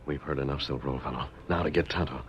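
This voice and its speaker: An actor playing a calm, resolute masked male hero speaking low and hushed in a 1930s radio drama. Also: quick pace, muffled recording, a little hiss or hum.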